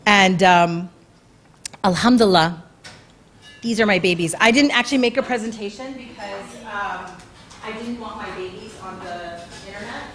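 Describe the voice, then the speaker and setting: A woman's voice: mid-range voice, moderate pace, fairly bright tone, slight background noise. Earnest woman telling her story. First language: English. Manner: earnest, conversational, many fillers and restarts. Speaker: speaker at a community talk night. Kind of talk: personal story talk. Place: event venue before an audience.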